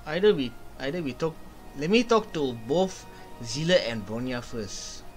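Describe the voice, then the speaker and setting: Slow-paced young man, gaming commentary. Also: fairly high pitch, sing-song, faint music behind. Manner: thinking aloud, halting. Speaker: gaming streamer. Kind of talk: casual let's-play commentary. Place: small room indoors.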